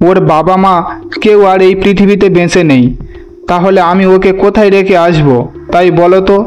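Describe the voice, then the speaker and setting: Man acting a high-pitched, earnest young cartoon hero. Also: steady tone, normal speed, a little mellow, dull audio, a little hiss or hum, lightly acted. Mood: pleading, resolute. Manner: earnest, resolute. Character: brave, earnest young landlord's son, protective.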